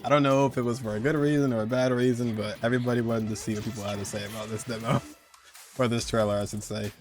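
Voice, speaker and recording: Casual low-toned man hosting a countdown. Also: steady tone, quick pace. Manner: slangy, conversational, chuckling asides. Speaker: host of a video game countdown show. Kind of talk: casual video commentary, countdown.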